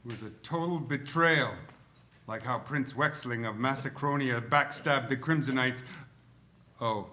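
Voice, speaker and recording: A man playing a geeky, excitable fantasy-fan cop in a live cartoon table read, fussy, pedantic and mock-formal. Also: medium pitch, a little slow. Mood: wounded, chatty. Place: theatre stage before an audience.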